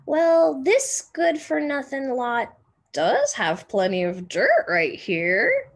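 Woman voicing a gruff, slow-talking old man. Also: sits high, big pitch swings, pitched above the performer's own voice, heavily acted. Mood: grudging. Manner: grumbling, stern, emphatic. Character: gruff, grumbling old man neighbour, hard as nails. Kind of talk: storybook character reading.

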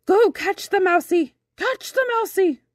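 A woman's voice: very high voice, lively intonation, measured pace, no room sound, studio quality, pitched above the performer's own voice. A woman voicing a very old, fussy great-aunt in a storybook, calling out in a sing-song way. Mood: urgent calling.

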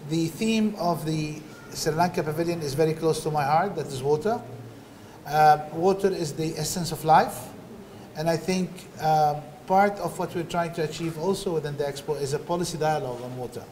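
A man's voice: a little high, normal speed, darkish tone, slight background noise. A middle-aged man giving an earnest inauguration speech at a podium, with some hesitant fillers. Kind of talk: formal ceremonial speech. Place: indoor exhibition pavilion, at a podium. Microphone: podium microphone.

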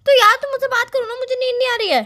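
Casting: young girlfriend on the phone, female, sharp high voice, nagging and insistent, casual. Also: steady tone, very fast. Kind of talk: casual couple's phone chat.

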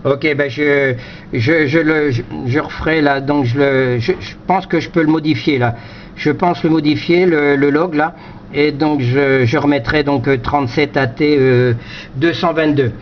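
Higher-pitched man on dull, noisy audio. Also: steady tone, unhurried. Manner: hesitant, repeats words and phrases. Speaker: amateur radio operator. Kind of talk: casual two-way radio chat. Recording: dull lo-fi audio with slight noise.

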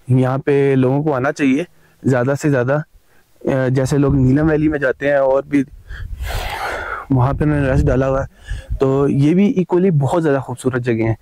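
A man's voice: fairly high pitch, mellow, no echo, low-quality recording. Chatty male travel vlogger outdoors. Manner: chatty, enthusiastic, addresses viewers as guys. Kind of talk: casual travel vlog narration. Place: outdoors on a mountain trail.

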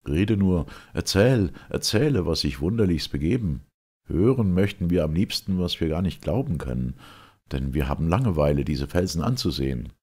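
A sceptical young maiden of a classical chorus, read by a man. The delivery is low and husky, declaiming verse with deliberate, dramatic weight. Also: expressive, darkish tone. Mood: impatient, curious.

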